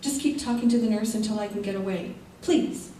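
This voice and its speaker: A woman's voice: mid-range voice, brisk, dark tone, natural voice. A woman playing a fragile, earnest injured wife in an old-time radio drama on stage, with melodramatic delivery. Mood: urgent, determined. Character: fragile, trusting injured wife turning distraught and determined. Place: theater stage before a live audience. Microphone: stage mics, recorded by far camera. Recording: echoey stage hall, far mic, faint noise.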